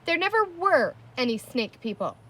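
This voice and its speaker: A woman voicing a cheerful, kind but bossy young girl in a storybook, speaking firmly and briskly. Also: sits high, sing-song, a bit bright, pitched above the performer's own voice. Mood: commanding. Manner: firm, brisk, emphatic.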